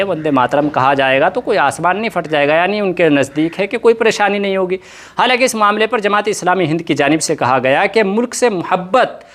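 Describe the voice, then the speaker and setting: A man presenting news commentary in formal, Urdu-heavy diction, repeating points for emphasis. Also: fairly high pitch. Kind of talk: news commentary mixing report and opinion.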